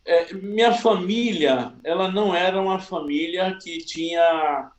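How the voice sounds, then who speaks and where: Pastor telling his story slow and humble, high voice, echoey room. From Salvador, Bahia, Brazil. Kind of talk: personal testimony interview.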